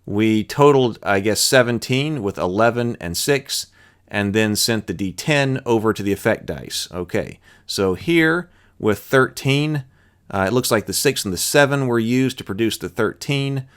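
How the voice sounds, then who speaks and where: A man's voice: lowish voice, lively intonation, moderate pace, smooth. A man hosting a tabletop roleplaying lesson, reading rules aloud step by step with informal thinking-aloud asides.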